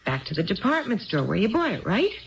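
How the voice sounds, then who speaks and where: A woman's voice: medium pitch, huge pitch swings, fast, gravelly. An actress playing an earnest, tipsy married woman who rambles wistfully in a 1970s radio drama. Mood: reflective. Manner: wistful, digressive, repeats herself. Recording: dull lo-fi 1970s radio broadcast.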